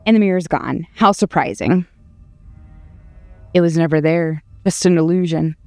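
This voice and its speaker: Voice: mid-range voice, moderate pace, vocal fry, a little mellow, no room sound, faint music behind, natural voice. An actor playing a hero's cocky, impatient inner voice in a video game, blunt, defiant and eager for a fight. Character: cocky, impatient, battle-hungry inner voice of a hero.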